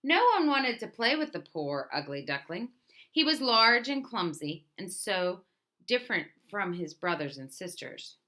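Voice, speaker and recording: A woman reading a children's storybook aloud in an expressive, emphatic way. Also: medium pitch, a little slow. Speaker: storybook reader.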